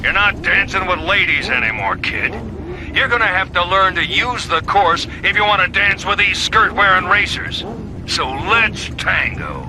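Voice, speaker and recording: A combative game racing coach, barking orders in a high-pitched voice. Vehicle noise runs clearly behind the voice. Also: male voice, animated, moderate pace. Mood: gruff, challenging. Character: tough, bossy, combative male racing coach.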